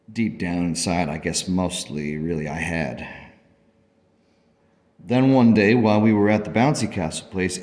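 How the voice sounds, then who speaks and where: Actor as a deep-voiced grieving father, reverberant. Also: darkish tone. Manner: halting, earnest, sorrowful. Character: grieving, devoted father recounting his daughter's illness. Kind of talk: first-person radio play narration. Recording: long reverb.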